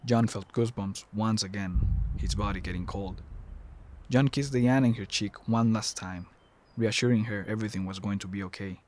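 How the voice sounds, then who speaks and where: A man's voice: on the low side, fairly even intonation, moderate pace, a little mellow, slight background noise. A man reading scripted third-person narration for a dramatic audio story.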